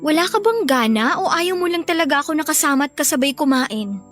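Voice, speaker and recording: A woman's voice: high voice, animated, fairly quick, fairly bright tone, faint music behind. A woman playing a hurt, affectionate younger sister in a Filipino radio drama, pleading earnestly with a Filipino accent. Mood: apologetic, hurt. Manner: plaintive, earnest.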